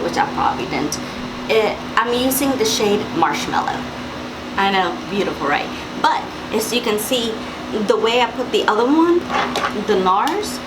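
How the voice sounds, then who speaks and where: A young woman with a raspy morning voice, chatting and instructing through a makeup tutorial at home. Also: mid-range voice. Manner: chatty, instructive, with fillers and asides. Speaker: makeup vlogger. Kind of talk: makeup tutorial talk to camera. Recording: loud background hiss and air-conditioner hum.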